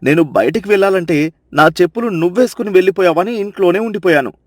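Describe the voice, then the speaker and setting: A woman doing a gruff scolding husband. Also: fairly low pitch, moderate pace, warm tone, no echo, studio quality, voice pushed down, natural voice. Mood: angry scolding. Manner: scolding, exasperated. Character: scolding middle-aged village husband, short-tempered.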